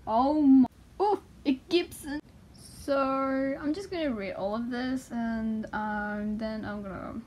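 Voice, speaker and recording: High-voiced young woman, halting. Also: slight background noise. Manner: excitable, foul-mouthed, halting as she translates. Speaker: vlogger. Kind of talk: casual reading aloud and commenting on a magazine. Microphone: handheld phone filming the page.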